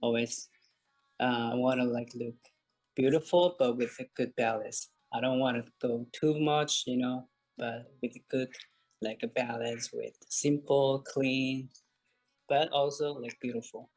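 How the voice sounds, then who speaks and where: Slow-talking man with a Korean accent. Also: medium pitch, steady tone, a little mellow, faint music behind, low-quality recording. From South Korea. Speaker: interview guest. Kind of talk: casual interview answers.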